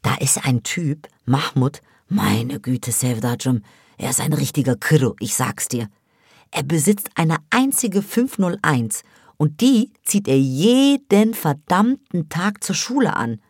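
A scornful teenage girl from a storybook, mocking and gossipy, who pitches her voice up whenever she lectures. A woman acts her out close to the mic in a dry, deadened space. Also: lively intonation, slow pace, a little mellow, breathy edge, no room sound, full character voice. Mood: mocking gossip. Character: scornful teenage girl, sharp-tongued smoker.

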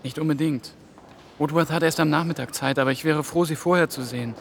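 A man's voice: a little high, fairly quick, gravelly, slight background noise. An actor playing a young male EU trainee, tense and worried, musing to himself and talking politely on the phone. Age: young adult. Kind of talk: German TV drama acting.

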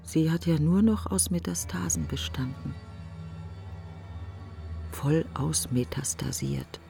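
An actress playing a middle-aged, grieving, sardonic woman, thinking aloud in fragments in a radio-play monologue, often in a breathy near-whisper. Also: deep voice, slow pace, mellow, soft background music, heavily acted. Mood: grieving reflection. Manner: fragmented, musing, questioning, sardonic. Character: grieving, bitterly wry successful woman thinking aloud while driving.

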